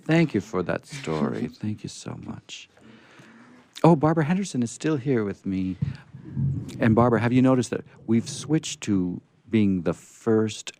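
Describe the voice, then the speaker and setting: Deep-voiced, gravelly man hosting radio. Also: sing-song, measured pace, a little mellow, slight background noise. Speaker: host of a radio storytelling show. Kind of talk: casual unscripted show chat.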